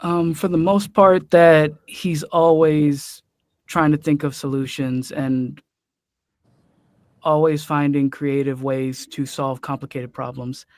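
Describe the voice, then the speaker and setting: A young man, a voice actor on the cast, answering questions in a soft, brief way. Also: on the high side, little pitch movement, slow, no echo, clean studio sound.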